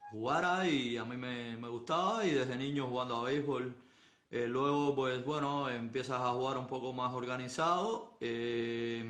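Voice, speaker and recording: Man, middle-aged, thoughtful, hesitant, echoey room, phone live stream. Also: medium pitch. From Cuba. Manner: unhurried, thoughtful storytelling with many pauses and fillers. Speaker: softball coach. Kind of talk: Instagram Live interview. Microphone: phone on a live video stream.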